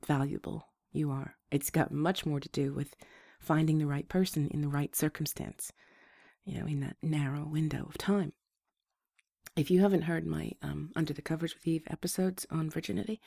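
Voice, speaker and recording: A woman with a rough voice, hoarse from a flu, hosting a gentle and reassuring advice talk to her listeners. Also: low-pitched, no room sound, clean studio sound. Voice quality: rough, slightly congested voice. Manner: gentle, reassuring, restarts phrases. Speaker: advice show host.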